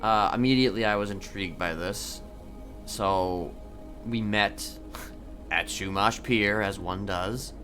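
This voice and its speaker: A man playing a brooding prisoner in a roleplay game, confiding in a halting, deliberate way and repeating words as he goes. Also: on the low side. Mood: reflective. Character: brooding, confiding male prisoner seeking to reform. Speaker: streamer role-playing a character. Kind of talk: improvised in-character storytelling in a crime roleplay game. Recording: loud music behind.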